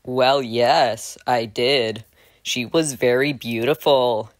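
A man voicing an ailing, middle-aged cartoon troll father who reminisces slowly and dramatically. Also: mid-range voice, fairly even intonation, no echo, natural voice. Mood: nostalgic narrating. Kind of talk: cartoon fandub, storytelling.

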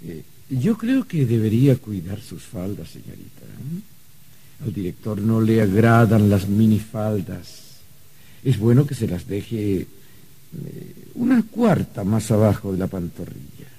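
A man's voice: on the low side, very animated, slow, warm tone, slightly rough, heavily acted. An actor playing a fussy, prudish male bureaucrat of the afterlife in a comedic radio play, officious and condescending. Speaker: bureaucratic adviser. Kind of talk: comedic radio drama acting.

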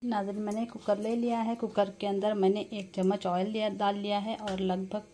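A woman with a mid-pitched voice walks viewers through a recipe step by step in a casual way. She speaks to them directly and often repeats her instructions. Clear kitchen noise runs behind her, with clanking pots, stirring and sizzling. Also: little pitch movement, a little fast, mellow. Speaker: home cook and vlogger presenting a recipe. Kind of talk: casual step-by-step cooking narration. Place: home kitchen. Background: pots clanking, frying and stirring.

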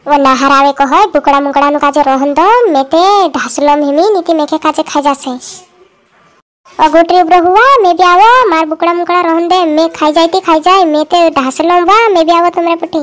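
A woman's voice: very high-pitched, normal speed, fairly bright tone. A woman voicing a bossy, nagging village girl in a cartoon comedy, scolding and urging others along. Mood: urgent.

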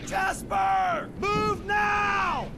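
A man's voice: extremely high pitch, fairly even intonation, drawn-out words, gravelly, heavy background noise, exaggerated voice. A man playing an urgent, commanding soldier squad leader in a war video game, barking clipped orders. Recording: game audio under loud gunfire.

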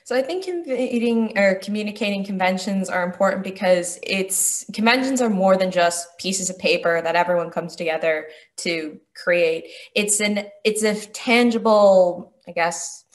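Young American woman, halting thoughtful answers. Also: medium pitch. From Pennsylvania, United States. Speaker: graduate student. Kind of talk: answering interview questions. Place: small home room with sloped ceiling.

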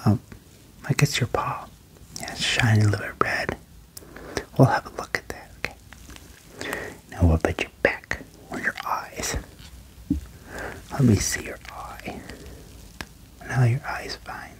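A young man whispering gently into a close microphone in an ASMR medical roleplay, with short reassuring phrases. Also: medium pitch, measured pace, long pauses, poor audio. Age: young adult. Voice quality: whispered, breathy. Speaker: ASMR performer playing an alien doctor. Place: small wood-panelled room indoors. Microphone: close microphone with furry windscreen.

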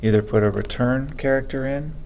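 A man teaching a programming lesson over a screen recording, speaking slowly and haltingly as he reads code aloud. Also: medium pitch, darkish tone, a little hiss or hum. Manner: slow, halting, dictates code aloud with many pauses. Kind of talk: screen-recorded coding tutorial.

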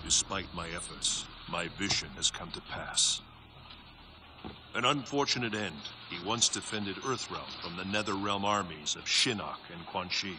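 An actor playing a stern, wise thunder god in a video game, measured, formal and grave. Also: male voice, deep voice. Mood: sombre regret. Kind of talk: dramatic video game voice acting. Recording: clear background noise behind voice.

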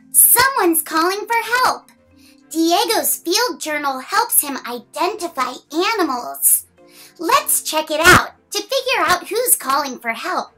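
A woman's voice: very high voice, sharp tone, rough recording. A woman in her thirties reading a picture book aloud to young children, playful and exaggerated, asking the listeners questions.

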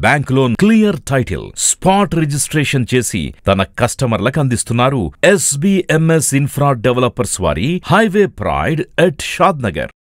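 A man's voice: medium pitch, no room sound, clean studio sound. A man reading a scripted promotional voice-over as an advertisement announcer.

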